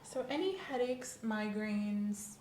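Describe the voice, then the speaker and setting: A woman's voice: mid-range voice, takes it slowly, dark tone, lightly acted. A woman playing a courteous, reassuring doctor in an ASMR medical roleplay, asking methodical questions in a polite, professional way. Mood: calm, attentive. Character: courteous, reassuring female doctor doing a checkup. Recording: roomy sound with faint background noise.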